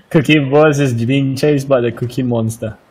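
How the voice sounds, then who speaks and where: A man's voice: mid-range voice, a little slow, darkish tone. South African man chatting over a game. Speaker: video game player. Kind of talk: casual gaming chat.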